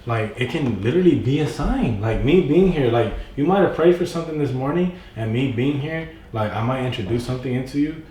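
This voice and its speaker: A man's voice: lively intonation, a little fast, few pauses, warm tone, far from the mic. A young man on a podcast, chatting casually in slang and acting out quotes with big jumps in his voice. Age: young adult. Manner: slangy, full of "you feel me", acts out quotes with big pitch jumps. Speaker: podcast guest. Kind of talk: casual chat. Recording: roomy, off-mic with faint noise.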